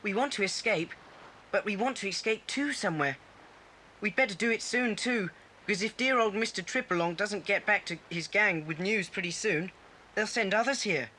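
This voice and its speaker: A sensible boy adventurer played by an actor in a children's tape drama. The delivery is practical and cautious in a bright, clear-edged tone. There is faint tape hiss behind. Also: medium pitch, moderate pace, lightly acted. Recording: faintly hissy old cassette tape.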